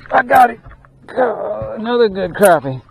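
A man giving excited running commentary while fishing from a small boat on a lake, recorded on a boat-mounted action camera. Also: sits high, measured pace, vocal fry. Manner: excited, drawling exclamations and mutters to the fish. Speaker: fishing channel host. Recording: dull action-camera audio with faint noise.

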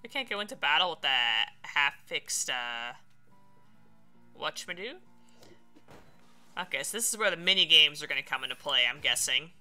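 A woman's voice: sits low, lively intonation, moderate pace, long pauses, bright, forceful tone, faint music behind. A woman doing casual Let's Play commentary, chatting and thinking aloud as she plays. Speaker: streamer playing a video game.

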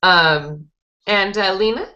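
A young woman teaches conversational English in an encouraging manner. Her voice is bright and sits at a middling pitch. It comes through a headset on a video call, with a muffled, lo-fi edge. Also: takes it slowly. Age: young adult. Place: small indoor room, group video call. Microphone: headset boom mic.

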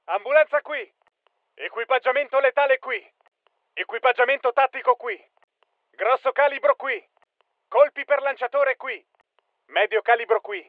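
High-pitched, urgent soldier on radio. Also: male voice, normal speed, long pauses, dry recording, phone-quality sound. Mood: focused, businesslike. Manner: clipped, commanding, urgent. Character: tough male soldier barking battlefield callouts. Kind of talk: military shooter game voice lines.

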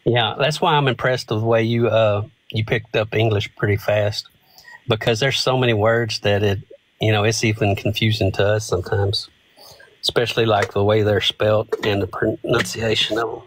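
A man's voice: on the low side, steady tone, normal speed, poor audio. A man joining a livestream by video call, chatting casually in an easygoing way with hesitant restarts. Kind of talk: casual chat.

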